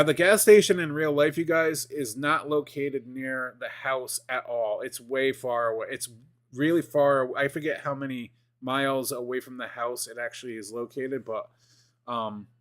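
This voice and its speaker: A man in his forties chatting casually as a streamer, rambling and excitable, with lots of fillers and restarts. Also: medium pitch. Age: 40s. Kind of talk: casual gaming chat.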